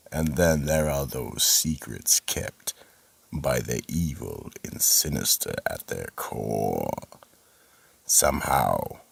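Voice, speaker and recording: A man playing the sinister keeper of a horror vault, narrating in an ominous, theatrical, deliberate way with a gravelly voice. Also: rumbling low, fairly bright tone, no room sound, full character voice. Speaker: host and narrator. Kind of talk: old-time radio horror narration.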